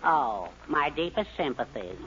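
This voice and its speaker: A muffled, faintly hissy vintage radio recording of a meek husband. He protests hesitantly, with a lively swing in his pitch, in 1940s comedy style. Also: measured pace. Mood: deadpan. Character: meek, henpecked middle-aged husband.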